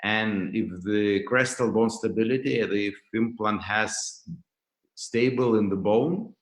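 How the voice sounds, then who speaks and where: A roomy, echoing video-call recording of a man with a Lithuanian accent. His voice sits fairly low as he presents an academic lecture online, haltingly, doubling back on words. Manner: halting, repeats words and restarts phrases. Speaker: dental professor and clinician giving a lecture. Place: indoors, remote video call.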